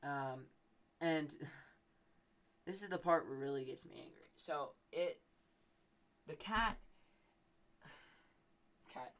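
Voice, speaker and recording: A man's voice: on the high side, takes it slowly, long pauses, fairly bright tone. A young man hosting a podcast, telling a story casually in a rambling, playfully exaggerated way. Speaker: podcast host.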